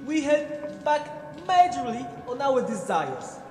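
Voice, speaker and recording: Amateur actor as sinister twin, high voice, slow, theatrical, mock-polite, echoey, horror game. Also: very animated, darkish tone, faint music behind, exaggerated voice. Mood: mock-polite menace. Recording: echoey, reverberant, noisy game audio.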